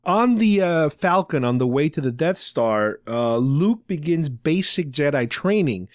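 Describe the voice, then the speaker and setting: A man's voice: a little high, lively intonation, unhurried, dry recording, studio quality. A man hosting a show, explaining things conversationally in a casual fan discussion with a co-host. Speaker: podcast host.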